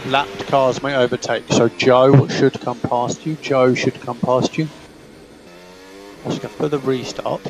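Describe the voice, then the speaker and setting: A man's voice: mid-range voice, gravelly. Male race engineer on noisy team radio. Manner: clipped, procedural, repeats instructions. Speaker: Formula One race engineer. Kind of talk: terse instructions over team radio. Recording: team radio with loud vehicle noise.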